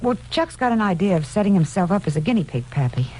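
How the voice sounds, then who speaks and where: Husky-voiced radio drama sidekick. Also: female voice, mid-range voice, very animated, fast talker, darkish tone, low-quality recording. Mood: engaged. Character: concerned female sidekick of a newsman. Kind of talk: old-time radio crime drama acting.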